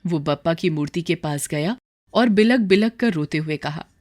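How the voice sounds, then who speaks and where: A woman's voice: low voice, lively intonation, moderate pace, darkish tone. A woman storyteller reading scripted dramatic narration for a moral story.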